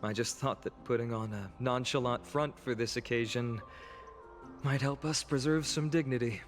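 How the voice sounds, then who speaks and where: A man's voice: medium pitch, moderate pace, natural voice. A man playing a nonchalant young hero in a video game, casual and wry with an earnest side. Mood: sincere, subdued. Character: nonchalant young male hero, teasing yet sincere. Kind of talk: dramatic video game voice acting. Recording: game dialogue over loud music.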